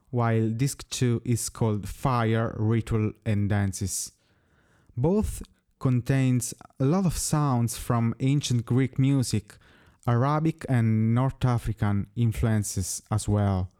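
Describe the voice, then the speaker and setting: A man from Italy, a native Italian speaker, talking slowly and carefully as an interview guest. His voice is smooth and resonant with a dark, mellow tone. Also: mid-range voice. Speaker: musician guest. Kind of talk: prepared interview answers.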